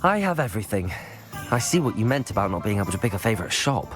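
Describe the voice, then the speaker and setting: A very low-voiced modest student. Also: female voice, sing-song, fast talker. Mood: polite. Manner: understated, polite short replies. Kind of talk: video game cutscene acting. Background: game score music.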